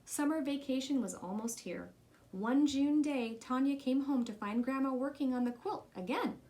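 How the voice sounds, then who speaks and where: A woman reads a children's story aloud. Her voice sits a little high, and she delivers the text as a storybook narrator would. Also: normal speed, dark tone. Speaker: storybook reader.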